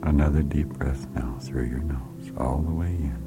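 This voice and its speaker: Male relaxation guide, very low voice, slow hushed delivery with whispered stretches, music underneath. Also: fairly even intonation. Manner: soft, hushed, often sliding into a whisper; slow, soothing, repetitive commands.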